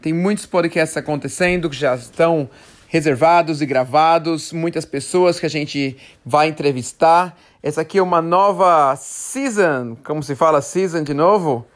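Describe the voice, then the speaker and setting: Brazilian podcast host, thirties, male, speaks a bit high, loose and self-correcting. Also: normal speed. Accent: Brazilian Portuguese. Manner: chatty, rambling, self-correcting. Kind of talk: casual solo podcast talk.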